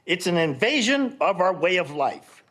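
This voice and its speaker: A man with a fairly high voice speaks loudly and indignantly at a city council podium, objecting to a project. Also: big pitch swings, normal speed. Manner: loud, indignant. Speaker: public commenter.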